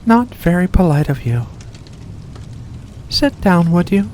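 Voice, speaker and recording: A performer voicing a mysterious, seductive vampire in a slow, deliberate ASMR roleplay, courteous and teasing. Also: mid-range voice, very animated, mellow. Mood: courteous, luring. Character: seductive mysterious vampire host luring in a guest. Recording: faint background noise.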